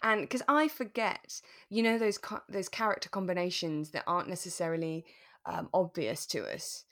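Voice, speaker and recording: A woman's voice: lowish voice, lively intonation, normal speed, a bit bright. A woman co-hosting a podcast, chatting casually with an earnest, enthusiastic manner. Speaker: podcast co-host. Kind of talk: casual chat about a radio drama.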